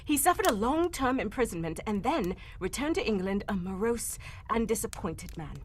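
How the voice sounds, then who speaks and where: A woman playing an anxious young gentlewoman telling her family tragedy in a live old-time radio drama. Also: medium pitch, lively intonation, moderate pace, breathy edge. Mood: grave, troubled.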